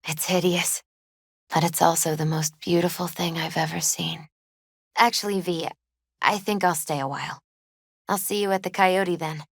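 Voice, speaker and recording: Soft wistful young woman from a video game, lowish voice, kinda hesitant and grieving, really dry close sound. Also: steady tone, normal speed, gravelly, husky, no room sound. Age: 20s. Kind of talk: naturalistic video game dialogue acting.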